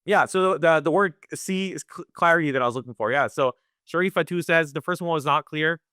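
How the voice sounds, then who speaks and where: A man teaching Quran recitation in a webinar, talking conversationally, often checking in with "right?" and sounding out recitation slowly. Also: a little high, pushed and bright, dry recording, clean studio sound. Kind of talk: live webinar lesson.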